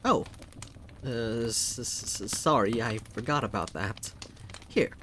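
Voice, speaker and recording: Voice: medium pitch, takes it slowly, a bit bright, slight background noise. A performer voicing a friendly, eccentric horned forest creature in a visual novel, playful and eager, with a hesitant, chatty lilt. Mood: gentle, reassuring. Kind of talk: visual novel character reading.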